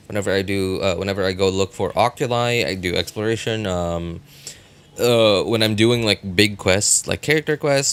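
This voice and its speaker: A man chatting casually as a game livestreamer, thinking aloud in a halting way and often repeating himself. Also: fairly low pitch, fairly bright tone, smooth.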